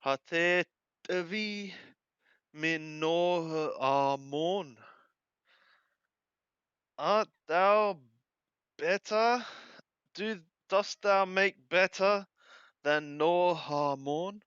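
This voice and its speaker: A man reading scripture aloud and translating it phrase by phrase, halting and deliberate, correcting his wording as he goes. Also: high voice, fairly bright tone, smooth, no room sound. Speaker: amateur Bible reader and translator. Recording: dull lo-fi close recording.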